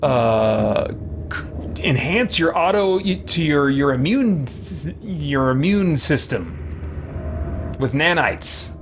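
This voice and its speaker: A man hosting a game stream, chatting casually and answering viewers in a halting, think-aloud way. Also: a little high, lively intonation. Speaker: video game livestream host. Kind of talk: casual gameplay chat, answering viewer questions. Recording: clearly noisy background.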